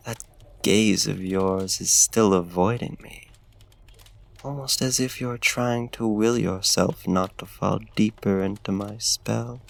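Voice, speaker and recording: An ancient male vampire, acted by a man, suave and predatory, speaks in a low voice with a gravelly fry. He draws out his formal, old-fashioned phrases very slowly, slipping toward breathy whispers in an intimate, menacing ASMR roleplay. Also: fairly bright tone, exaggerated voice. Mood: coaxing, hypnotic. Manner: formal, archaic phrasing, slow deliberate pauses, courtly endearments.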